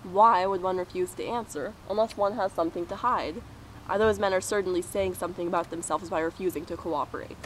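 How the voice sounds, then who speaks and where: A woman's voice: lowish voice, a little fast, close to an everyday voice, poor audio. Self-righteous American senator, acted by a woman, puppet-show speechifying, earnest and unyielding, faint noise behind.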